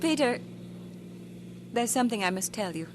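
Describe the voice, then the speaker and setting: An actress playing a shy young woman student, speaking softly and hesitantly, with small stammers, in a radio drama. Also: on the high side, animated, moderate pace, background noise, close to an everyday voice. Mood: tender. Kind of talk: 1950s radio drama acting.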